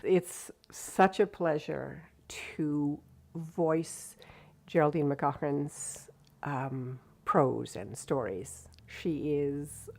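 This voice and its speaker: Low, creaky-voiced woman interviewee. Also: darkish tone. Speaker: audiobook performer. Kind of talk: unscripted interview commentary.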